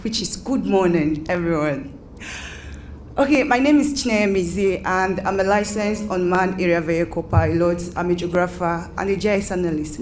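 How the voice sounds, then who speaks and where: A woman's voice: on the low side, darkish tone, slight background noise. A woman from Nigeria with a Nigerian accent, giving an academic conference presentation with many fillers and self-corrections.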